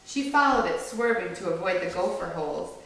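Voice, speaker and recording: A woman's voice: on the low side, expressive, brisk, fairly bright tone. Woman reading a story aloud in an echoey room. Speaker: storyteller reading a picture book to children. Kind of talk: expressive read-aloud storytelling. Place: echoey indoor room with children listening. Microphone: far from the reader. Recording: distant mic, very echoey room, faint noise.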